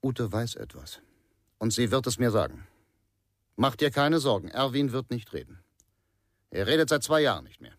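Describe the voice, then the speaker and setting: An actor playing a controlling, grim husband in a radio crime drama, curt and insistent. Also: male voice, medium pitch, very animated, normal speed, long pauses, no room sound, studio quality. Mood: grim, menacing.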